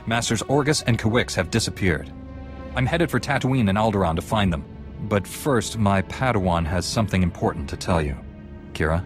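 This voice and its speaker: Steady, low-voiced heroic Jedi knight man acting. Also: normal speed, over music, close to an everyday voice. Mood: earnest. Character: steady, dutiful, courageous male Jedi knight. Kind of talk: dramatic video game acting.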